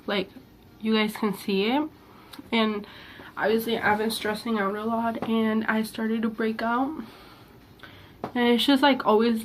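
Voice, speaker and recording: A young woman vlogging about her personal past, earnest and halting, with a slightly sick-sounding voice. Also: a little high, a bit bright, slight background noise. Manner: hesitant, halting, many fillers and restarts; candid and earnest. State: sick, voice a little off. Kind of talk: personal confessional vlog.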